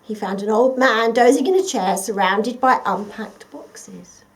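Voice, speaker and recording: A woman reading a children's story expressively and dramatically, often dropping to a hush. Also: on the high side, unhurried. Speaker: volunteer storyteller.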